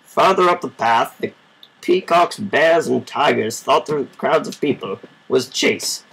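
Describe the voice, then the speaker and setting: Man for children's story narration, amateur and informal; unhurried pace, fry-edged voice. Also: mid-range voice, expressive, fairly bright tone, poor audio. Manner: informal, measured amateur reading. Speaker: storybook reader. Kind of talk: reading a children's story aloud.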